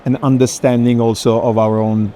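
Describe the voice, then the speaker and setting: A man hosting an unscripted walking conversation, reflective and halting, often repeating and rephrasing his words. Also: mid-range voice, flat delivery, warm tone. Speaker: moderator, host of the walking conversation. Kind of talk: unscripted interview on a tour. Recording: faint urban background noise.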